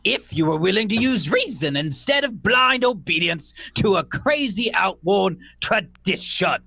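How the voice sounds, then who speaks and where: A man's voice: high-pitched, lively intonation, measured pace, vocal fry, sharp tone, no room sound, studio quality, exaggerated voice. A man playing a defiant schoolmaster who argues insistently for reason in an amateur radio play. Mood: impassioned pleading. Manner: impassioned, insistent. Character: defiant, reasoning schoolmaster pleading against village tradition.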